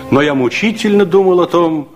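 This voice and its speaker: An actor playing a reflective older man who recalls his youth, narrating a radio drama in a measured, wistful way. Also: on the high side, muffled recording, close to an everyday voice. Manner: measured, wistful, with weighty pauses. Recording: 1960s radio recording, loud music behind.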